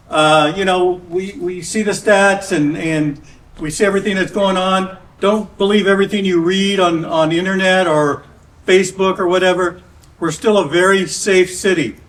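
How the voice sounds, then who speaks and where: A man's voice: high voice, fairly bright tone. A man, a city council member, giving casual welcoming remarks at a community meeting, with many fillers and restarts. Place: church hall meeting. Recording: distant mic in a room with faint noise.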